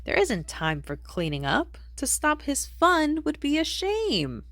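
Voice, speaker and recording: A woman reading a rhyming children's picture book aloud in an expressive storytelling manner. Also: high voice, a little slow, no echo, clean studio sound. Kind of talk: read-aloud storybook narration in rhyme.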